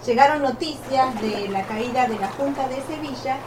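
An actress playing a polite, eager radio reporter with an Argentine accent, formally announcing a live news report in a radio drama. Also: female voice, high voice, normal speed, natural voice. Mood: earnest, informative. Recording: distant, noisy outdoor-style radio recording.

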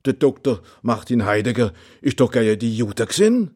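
An actor playing an older count, modest and amused, who reminisces in a colloquial, halting way. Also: male voice, mid-range voice, very animated, darkish tone, slightly rough. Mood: reflective.

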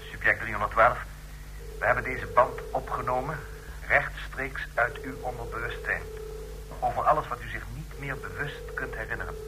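A man's voice: medium pitch, lightly acted. An actor playing a methodical male chief medic of a regime laboratory in a radio drama, formal and clinical. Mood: commanding, methodical. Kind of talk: radio drama acting in Dutch. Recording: radio drama with faint noise.

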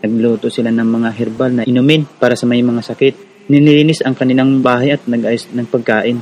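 A man's voice: medium pitch, little pitch movement, a little fast, darkish tone, a little hiss or hum, close to an everyday voice. An actor playing an earnest young Filipino village activist who narrates his own story in a radio drama. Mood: earnest storytelling. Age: young adult. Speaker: narrator and lead character. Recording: music under the voice.

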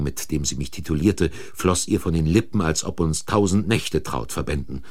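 A man's voice: a bass voice, slightly rough, heavily acted. An actor playing an agitated man accused of murder in a German radio crime drama, stammering and indignant as he insists on his innocence. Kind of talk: German radio crime drama acting and first-person narration. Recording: vintage radio play recording.

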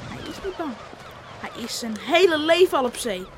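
An eager child of about eleven from a fishing island. The voice is high, insistent and ready to argue. It comes through a noisy old radio play recording. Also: female voice, sing-song, normal speed. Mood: argumentative. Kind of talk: Dutch radio play acting.

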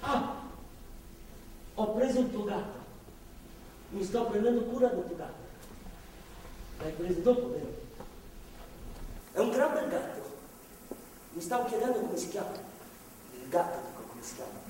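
A man's voice: high-pitched, animated, dark tone. Remorseful, pleading man, very echoey. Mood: awkward, timid. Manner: halting, confessional, pleading. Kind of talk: live stage drama acting. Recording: distant mic, echoey theatre hall, faint noise.

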